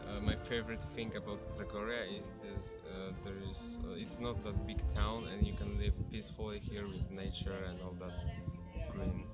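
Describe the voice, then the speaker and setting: Low-voiced teenage boy. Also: level tone, normal speed. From Poland. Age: late teens. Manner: hesitant, many fillers. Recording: loud music behind.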